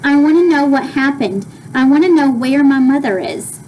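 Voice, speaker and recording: A woman's voice: mid-range voice, level tone, slow pace, mellow, a little hiss or hum, close to an everyday voice, poor audio. A woman reading aloud voices a determined, insistent young girl. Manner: insistent, emphatic.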